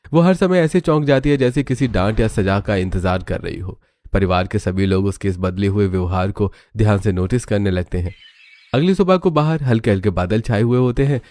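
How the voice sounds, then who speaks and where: A man's voice: on the low side, a little mellow, no echo. A man narrating a scripted family cartoon story.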